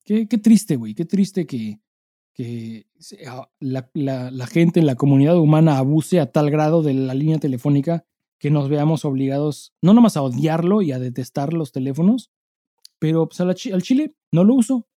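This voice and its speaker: A man's voice: fairly high pitch, normal speed, mellow, dry recording, studio quality. A Mexican man co-hosting a podcast, chatting casually in slang with plenty of swearing and acting out dialogue as he tells anecdotes. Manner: informal, profanity-laden, acts out dialogue while telling anecdotes. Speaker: podcast co-host. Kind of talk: casual chat with slangy storytelling.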